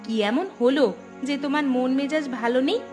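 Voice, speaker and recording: A woman's voice: high-pitched, moderate pace, natural voice. A woman voicing a concerned village wife in a cartoon drama, questioning and insistent. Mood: concerned, probing. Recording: music clearly behind the voice.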